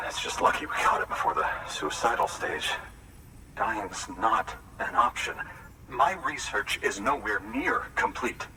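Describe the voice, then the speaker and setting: An anxious researcher devoted to his work in a video game cutscene. His voice is fairly low and very animated, confiding and expressive. The sound is slightly distant. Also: measured pace, heavy background noise. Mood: weary, grateful.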